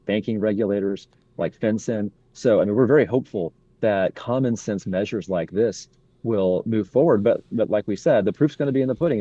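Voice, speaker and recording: A man answering as an interview guest, measured and upbeat, with filler pauses, heard over muffled remote audio. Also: on the low side, warm tone, no echo. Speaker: guest, legal advocate.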